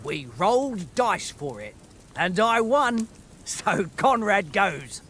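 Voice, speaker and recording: A man's voice: high voice, very animated, takes it slowly, fairly bright tone, exaggerated voice. A man playing a wry, grumbling common soldier in a medieval video game. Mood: chatty.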